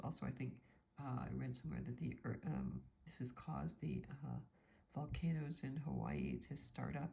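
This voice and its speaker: A woman's voice: extremely low pitch, vocal fry, dark tone, rough recording. A woman astrologer with a stuffy nose from a cold, talking through a forecast in a meandering, thinking-aloud way.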